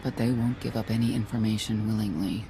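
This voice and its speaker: A woman playing a stern, world-weary older knight in a video game, low-voiced, grave and blunt. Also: fairly even intonation, fairly quick. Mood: grim.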